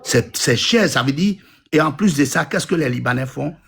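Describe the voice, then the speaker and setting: A man in his fifties from Côte d'Ivoire calls into a live discussion and talks bluntly, circling back to his points with insistence. His voice has a gravelly, husky grain. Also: on the high side, expressive, moderate pace, a bit bright. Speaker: caller. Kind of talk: live audio room call-in.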